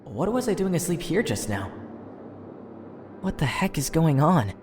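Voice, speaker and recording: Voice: medium pitch, big pitch swings, unhurried, a little mellow. A performer voicing an earnest teenage schoolboy, narrating his own story dramatically as an inner monologue in an amateur game dub. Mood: confused, groggy. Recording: roomy echo, music underneath.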